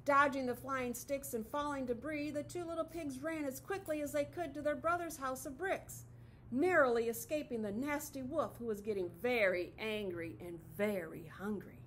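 A woman's voice: high voice, moderate pace, a bit bright, a little hiss or hum. A middle-aged woman teacher reading a picture book aloud expressively to children, recorded in a classroom. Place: classroom, indoors.

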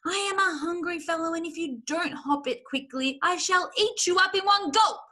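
A woman voicing a cunning adult male fox, a fatherly leader with a smooth, theatrical, commanding manner, in a children's story. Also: on the high side, big pitch swings, normal speed, sharp tone, exaggerated voice. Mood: silkily menacing.

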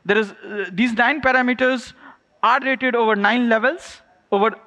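A man giving an informal, energetic keynote, speaking in a stop-start way with repeated words and checking in with "right?". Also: very high-pitched, normal speed. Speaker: conference keynote speaker, programs lead. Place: stage in a large glass-walled event hall. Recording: echoey hall with long reverb.

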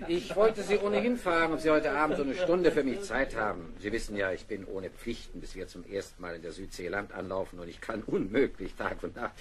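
An actor in a radio play as a sociable, enthusiastic botanist with an expansive, lecturing manner. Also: male voice, lowish voice, brisk, few pauses, a crowd in the background, close to an everyday voice. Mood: jovial, inviting. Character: sociable, enthusiastic gentleman botanist aboard ship.

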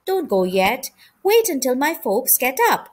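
Woman reading as candid young boy, animated. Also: high-pitched, measured pace, breathy edge, full character voice. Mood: friendly. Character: young boy, friendly, candid, impatient to grow up. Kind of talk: children's storybook character reading.

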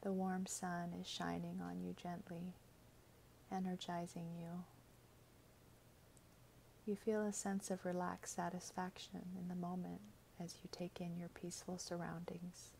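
A woman reading a scripted guided meditation in a gentle, soothing, measured way, with soft, breathy phrase endings. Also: fairly low pitch, monotone, a little hiss or hum, rough recording.

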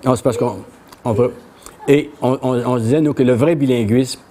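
A man's voice: steady tone, moderate pace, a crowd in the background. An older man with a Québécois accent giving a history lecture to a small audience in a café, reading from a tablet in a plain, conversational way. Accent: Québécois French. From Quebec. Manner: plain conversational telling, reads quoted speeches with lifted pitch. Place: small bookshop café with brick walls, before an audience.

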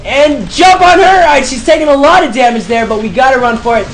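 A young man giving excited live gameplay commentary, shouting during fights and talking himself through moves, his voice going hoarse. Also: very high-pitched, a little fast, slight background noise. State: losing his voice.

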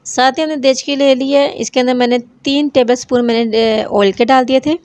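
A woman with a Pakistani Urdu accent, hosting a home cooking channel, calmly explaining each recipe step in a voice-over. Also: high-pitched, fairly quick, no room sound. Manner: calm, repeats each step.

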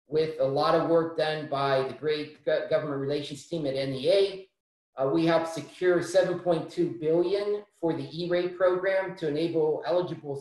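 A middle-aged man with a fairly high voice talks through funding rules in a careful, explanatory way, pausing on "uh" and restarting phrases. He speaks into a webcam on a video call, and his voice rings around a very echoey room. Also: darkish tone. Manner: measured, explanatory, frequent restarts and fillers. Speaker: policy speaker. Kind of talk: virtual town hall briefing. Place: home room, indoors.

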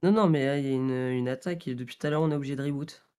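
A man's voice: mid-range voice, rapid-fire, darkish tone, clear, resonant tone. A man talking as server staff in a casual voice chat, colloquial and matter-of-fact. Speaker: game server staff member.

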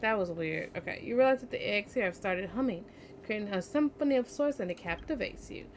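A woman's voice: medium pitch, expressive, fairly quick. Casual young woman streamer, faint noise. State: tired, yawning. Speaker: game streamer. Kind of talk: casual let's play commentary, reading game text aloud.

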